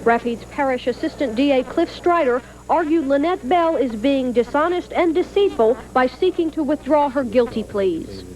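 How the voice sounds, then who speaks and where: High-pitched woman TV news reporter. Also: expressive, moderate pace, hardly any pauses, darkish tone, low-quality recording. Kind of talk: scripted news report narration. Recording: faint hiss, 1980s TV broadcast tape.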